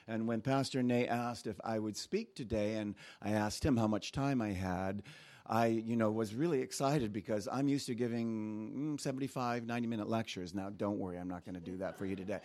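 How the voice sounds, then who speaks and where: A man raised in Japan preaches as a guest speaker, reading scripture aloud and then drifting into stories from his own childhood. He talks in an animated, conversational way, with quick asides to the congregation. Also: on the low side. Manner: animated storytelling with asides and laughs.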